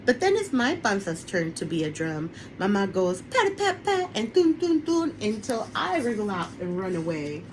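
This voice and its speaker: A woman's voice: mid-range voice, big pitch swings, normal speed, hardly any pauses, slight background noise, rough recording. A woman who is an author, reading her children's picture book aloud on an online webinar recording. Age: adult, parent of a young son.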